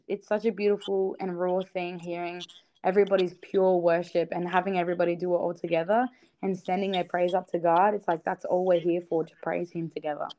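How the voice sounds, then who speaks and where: A woman's voice: on the low side, a little mellow. A young woman talking as a podcast guest, earnest and upbeat, often laughing and using fillers like "you know". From South Australia. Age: young adult. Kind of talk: casual podcast interview.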